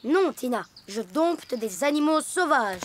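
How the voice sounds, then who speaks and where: A woman voicing a gentle, clumsy young boy hippo in a French children's cartoon. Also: high-pitched, unhurried, a little hiss or hum. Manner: gentle, hesitant and easily excited.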